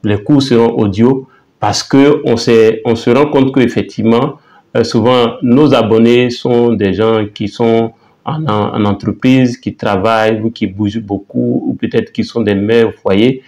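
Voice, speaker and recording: A man teaching a language lesson in a casual, halting way, often repeating words and saying phrases slowly. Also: medium pitch, fairly even intonation, a little mellow. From Côte d'Ivoire.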